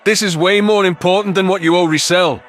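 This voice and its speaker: Give me a man playing a suspicious, impatient alien soldier from a sci-fi audio story. The voice sits high for a male and is confrontational and exasperated, over faint music. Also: steady tone, fairly quick, a bit bright. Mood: stern.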